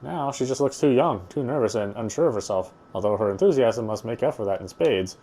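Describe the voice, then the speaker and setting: A man's voice: mid-range voice, animated, a little fast, mellow. A man narrating a visual novel as he plays it, reading the prose aloud with casual asides.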